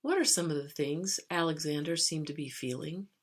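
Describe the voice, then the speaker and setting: A woman reading a children's picture book aloud, expressively and with dramatic emphasis on drawn-out words. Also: low voice, slightly rough. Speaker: storybook reader.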